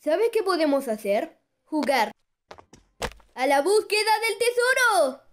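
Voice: very high-pitched, very animated, slow pace, a bit bright, slight background noise, exaggerated voice. A performer voicing a playful, mischievous young imp boy in a cartoon fan dub, childlike and dramatic, with a Latin American Spanish accent. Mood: bossy, playful.

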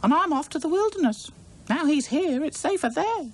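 A squeaky tiny nervous beetle, way up high. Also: male voice, lively intonation, normal speed. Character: small jittery male beetle in a hurry.

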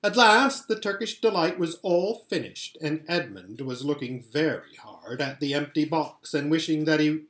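A man's voice: on the high side, lively intonation, normal speed, hardly any pauses, fairly bright tone, reverberant. A middle-aged man reading a children's novel aloud expressively, at home. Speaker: storybook reader. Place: living or dining room at home, indoors.

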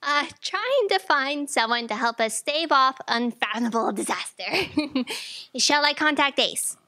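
An actress plays an outspoken young woman assistant in a staged audio drama. Her voice is high and sharp, with very animated pitch and an earnest, insistent push. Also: a little slow, heavily acted. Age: young adult. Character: eager, outspoken young female fact checker assistant. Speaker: stage actor. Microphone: stand microphone on stage.

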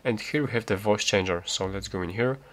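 A man hosting a step-by-step tech tutorial, explaining in a halting, repetitive way. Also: lowish voice, a bit bright. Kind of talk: step-by-step spoken walkthrough.

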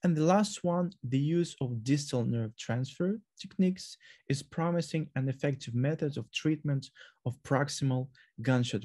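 Man w presenting surgery cases on a video call, lots of uhs and restarts, dark mellow voice. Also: medium pitch. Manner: hesitant, many fillers and restarts. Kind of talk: academic presentation.

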